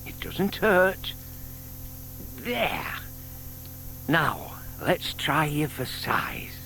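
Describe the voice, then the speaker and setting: An actor playing a shy, lovestruck older British gentleman in an audio drama, polite, old-fashioned and eager. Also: on the high side, big pitch swings, very slow, vocal fry, slight background noise, full character voice. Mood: muttering, focused.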